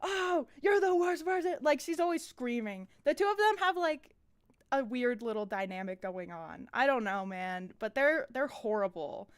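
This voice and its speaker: A woman's voice: on the high side, lively intonation, normal speed, smooth. A woman giving casual, rambling commentary on her own animations as a live stream host. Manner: rambling, informal.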